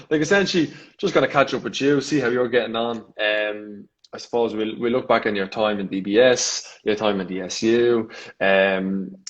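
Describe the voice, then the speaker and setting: Man, Irish accent, lowish pitch, casual online host, chatty, rambling, hesitant phrasing. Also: highs cut off. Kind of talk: casual live video chat.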